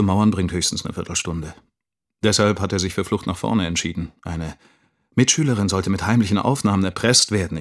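A man playing a dry, observant schoolteacher who recounts events matter-of-factly, quoting dialogue. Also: low-pitched. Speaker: actor in a radio drama. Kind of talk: dramatic past-tense narration with quoted dialogue.

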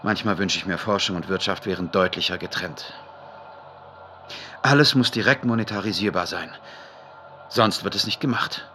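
A pensive male scientist in an audio drama, talking through work notes. His voice is low and forceful in tone, with measured, matter-of-fact delivery. Noise sits behind him. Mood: sober, disapproving. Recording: clear background noise.

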